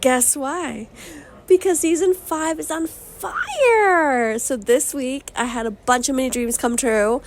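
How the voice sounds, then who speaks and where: A young woman with a high voice talks through her own vlog in a bubbly, slangy way. Her voice sounds slightly strained as it recovers, and she swoops from excited squeals to low asides. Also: slow pace. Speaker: vlogger and podcast host. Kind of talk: casual upbeat vlog talk.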